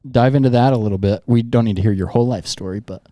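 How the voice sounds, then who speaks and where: A man's voice: mid-range voice, fast talker, mellow, dry recording, studio quality. A young man from Utah hosting a casual chat, laid-back and slangy, laughing easily. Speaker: podcast host.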